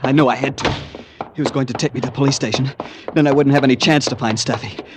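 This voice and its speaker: A man's voice: medium pitch, steady tone, fast, heavy background noise, natural voice. An actor playing a desperate, earnest young American man, narrating and pleading in 1940s radio suspense style. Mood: tense, narrating. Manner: earnest, nervy, dramatic. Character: anxious, desperate young man hunting his missing fiancee. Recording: vintage radio drama, music behind.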